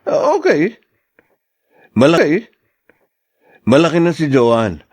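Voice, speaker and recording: A caring older brother with a fairly high, animated voice that rises and falls a lot. The sound is dry and close. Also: male voice, takes it slowly, breathy edge, no room sound, full character voice. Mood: kindly. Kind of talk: radio drama acting.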